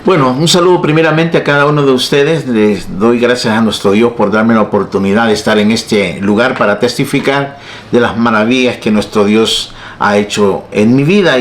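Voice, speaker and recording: A man's voice: on the low side, normal speed, roomy sound. A man in his sixties from El Salvador with a Salvadoran Spanish accent, a pastor giving his personal testimony in an interview and often joking and laughing at himself. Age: 60s. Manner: jokey, laughs at his own jokes.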